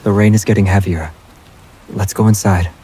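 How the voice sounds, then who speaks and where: Man acting as calm young male love interest, deep mellow tone, deadpan, protective, gently teasing, romantic audio drama. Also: moderate pace, slight background noise, lightly acted. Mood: quietly concerned. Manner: understated, deadpan.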